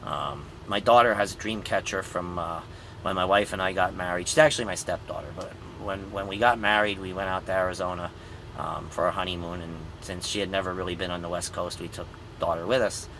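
Tired trucker grandpa, bright voice. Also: male voice, low-pitched, steady tone, fairly quick, creaky, a little hiss or hum, poor audio. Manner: rambling and easygoing, with many ums. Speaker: truck driver vlogger. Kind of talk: casual vlog update to viewers. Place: inside a truck sleeper cab. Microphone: phone camera at arm's length.

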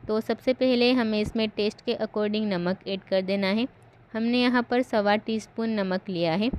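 A woman's voice: mid-range voice, normal speed, smooth. Woman narrating a home recipe. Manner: calm step-by-step instruction. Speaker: cooking channel host.

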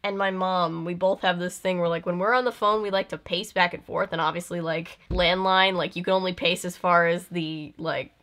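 A woman's voice: on the low side, fairly even intonation, normal speed, hardly any pauses, fairly bright tone. A young woman giving casual, comedic reaction commentary, full of sarcastic asides and mock exasperation. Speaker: video host reacting to an old film.

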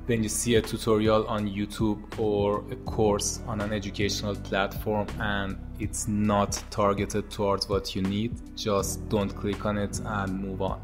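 A man in his thirties with an Iranian accent, calmly giving scripted advice to camera as host of a tutorial channel, speaking into a boom-arm mic in his home studio. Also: measured pace, hardly any pauses. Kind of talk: scripted educational talk to camera. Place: home studio with monitors and posters. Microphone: boom-arm podcast mic. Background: soft background music.

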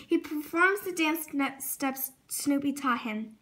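A woman's voice: high-pitched, slow pace, a bit bright. A female reading a children's storybook aloud expressively, sometimes stumbling over words and correcting herself. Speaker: storybook reader.

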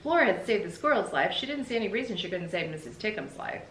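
A middle-aged woman reading a children's storybook aloud expressively, like a teacher, with deliberate pauses between phrases. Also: sing-song, a bit bright. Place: indoors in a room with bookshelves. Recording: roomy echo, faint low rumble.

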